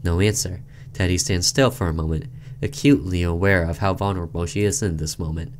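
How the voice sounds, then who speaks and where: A low-pitched man hosts a game playthrough, reading the story text aloud. His delivery is deliberate and dramatic, loosened by casual asides. Some background noise sits under the voice. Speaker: gaming video host. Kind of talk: reading visual novel narration aloud with casual commentary.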